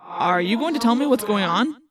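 A woman's voice: a little high, sing-song, moderate pace, crowd noise. Woman as an anxious, questioning young ally. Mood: urgent. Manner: urgent, questioning.

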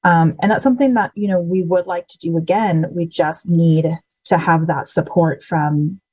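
A woman's voice: lowish voice, steady tone, moderate pace, darkish tone, slightly rough, low-quality recording. A woman on a committee panel, talking casually with lots of hesitant fillers. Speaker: committee member.

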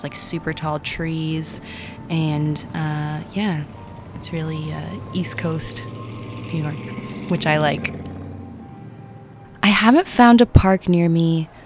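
A woman storyteller sharing a personal anecdote in a casual, unhurried, meandering conversational way. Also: on the low side.

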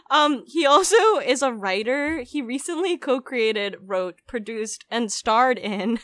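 A woman's voice: on the high side, takes it slowly, a bit bright, dry recording. A woman in her twenties, raised in the Philippines, co-hosting a podcast in a chatty, giggly way full of "like" and "um". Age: 20s. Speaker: podcast co-host. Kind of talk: casual podcast chat.

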